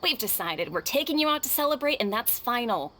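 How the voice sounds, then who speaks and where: A woman's voice: on the high side, sing-song, fairly quick, fairly bright tone. A woman voicing a supportive, admiring young classmate in an anime video game, earnest and encouraging.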